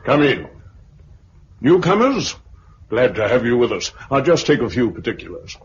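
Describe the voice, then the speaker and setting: A man's voice: medium pitch, very animated, moderate pace, close to an everyday voice. A man with a British accent playing an officious, bureaucratic colonel with a clipped, formal manner in a 1950s radio drama. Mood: officious, brisk. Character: officious, bureaucratic English colonel taking particulars. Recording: muffled, hissy 1950s radio recording.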